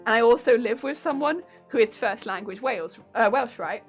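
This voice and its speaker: A woman's voice: fairly high pitch, expressive, fairly quick, soft background music. A young woman from Hampshire with a southern English accent, chatting casually and giggling while she sews on a craft stream. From Hampshire, England. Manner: giggly, rambling, chatty with frequent laughs. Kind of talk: casual live-stream chat while sewing.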